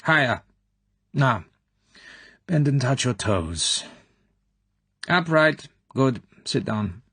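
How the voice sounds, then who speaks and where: A man voicing a cold, domineering older female secret police colonel who talks in a smooth, commanding, falsely sweet way. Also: mid-range voice, animated, very slow, fairly bright tone, dry recording, voice pushed up, exaggerated voice.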